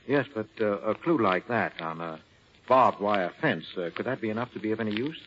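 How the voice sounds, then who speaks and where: A deep-voiced, mild middle-aged gentleman in a 1940s radio play, uneasy and hiding something. He stammers and hesitates, heard through a muffled vintage recording with faint noise. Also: lively intonation, a little fast. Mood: nervous. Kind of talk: 1940s American radio drama acting. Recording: muffled, noisy old radio broadcast recording.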